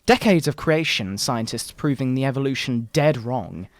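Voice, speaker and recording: A man voicing a pompous, sanctimonious fundamentalist preacher parent in a mock-dramatic sermon, with theatrical, drawn-out emphasis. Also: mid-range voice, lively intonation, no room sound, lightly acted. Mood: pompous outrage. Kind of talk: mock-dramatic reading of a moral-panic sermon. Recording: background video game music.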